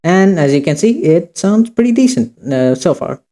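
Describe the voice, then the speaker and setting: A man hosting an informal software tutorial, talking casually and hesitantly as he goes. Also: a little high, sing-song, normal speed, over loud music. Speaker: tutorial host. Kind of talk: informal screen-recorded tutorial.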